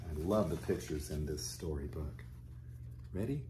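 A man in his fifties, a pastor reading a picture book aloud to young children and explaining it gently and simply. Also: very deep voice, lively intonation, normal speed, background noise. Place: small furnished living room, indoors.

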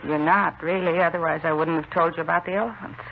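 An actress playing a dazed, wistful wife of a murdered man, halting and detached, in a 1950s radio drama. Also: lowish voice, fairly even intonation, natural voice. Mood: dazed, polite. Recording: noisy, muffled old radio recording.

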